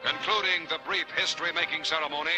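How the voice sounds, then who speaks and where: High-pitched American 1940s newsreel announcer man. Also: fairly even intonation, fast talker, poor audio. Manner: declamatory, formal, dramatic announcing. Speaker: newsreel narrator. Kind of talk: 1940s newsreel scripted narration. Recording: old 1940s newsreel soundtrack. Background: loud music.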